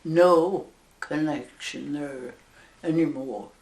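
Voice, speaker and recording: Very old Northern Irish woman, slow speech. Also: sits low, reverberant. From Northern Ireland. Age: over 100. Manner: slow, halting, with soft laughs. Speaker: interviewee, elderly nun. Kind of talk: oral history interview.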